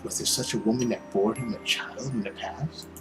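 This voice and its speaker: A man hosting a gaming video, moving between dramatic story reading and casual commentary in an expressive, theatrical way. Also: lowish voice, moderate pace, music in the background.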